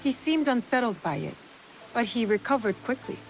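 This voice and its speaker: A woman's voice: on the high side, expressive, normal speed, soft background music, low-quality recording. A woman playing a composed, earnest female mercenary in a feudal Japan game, reserved and plain-spoken.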